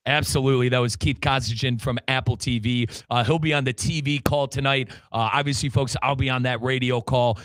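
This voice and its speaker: A man's voice: mid-range voice, steady tone, a little fast, few pauses, a bit bright, no room sound. A man hosting a casual sports talk show in a chummy, slangy manner. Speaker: sports radio host.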